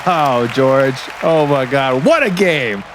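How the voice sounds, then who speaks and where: A man's voice: mid-range voice, lively intonation, slow pace, crowd noise. A man playing an earnest, emotionally invested basketball color commentator in an audio drama, hesitant and easily wowed. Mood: amazed. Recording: basketball game noise behind.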